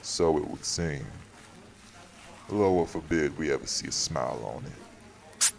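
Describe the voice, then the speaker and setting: An actor playing a stern, dry-witted community guardian with a formal, sardonic, measured delivery in an audio roleplay. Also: male voice, extremely low pitch, lively intonation, vocal fry, heavily acted. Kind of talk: audio roleplay acting, dramatic dialogue.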